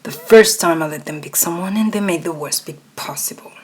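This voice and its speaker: A woman's voice: lowish voice, a little fast, background noise, lightly acted. A woman voicing a cold, domineering male CEO in an ASMR roleplay, stern, clipped and condescending. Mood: angry, contemptuous.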